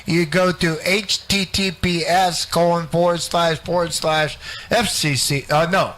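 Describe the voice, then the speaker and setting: A man's voice: on the high side, steady tone, measured pace, fairly bright tone. A man in his late fifties hosting a radio show with blunt, teasing, sweary casual banter. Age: late 50s. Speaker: radio host.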